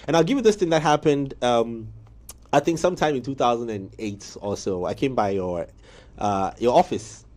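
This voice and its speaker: A man's voice: medium pitch, moderate pace. Man hosting a morning show. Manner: lively, storytelling with laughs. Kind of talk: casual studio interview. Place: TV studio.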